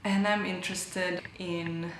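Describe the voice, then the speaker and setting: Gravelly-voiced woman in an echoey hall. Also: lowish voice, little pitch movement, takes it slowly, slight background noise. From Brazil. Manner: thoughtful, searching for words, self-correcting. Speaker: artist. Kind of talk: interview about her artwork. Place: large gallery hall indoors.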